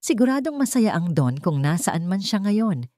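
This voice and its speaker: A woman voicing a kindly elderly female housekeeper who speaks gently and affectionately. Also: medium pitch, sing-song, normal speed, dry recording, studio quality, close to an everyday voice. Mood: warm.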